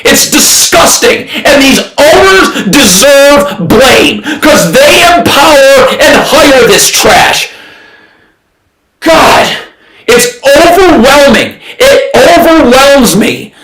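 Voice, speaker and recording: A man's voice: very high voice, sing-song, slow pace. A man doing live basketball play-by-play reactions on a stream, exasperated and blunt, often raising his voice and repeating himself. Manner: exasperated, ranting, often shouting. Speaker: livestream sports commentator. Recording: roomy echo, distant from mic.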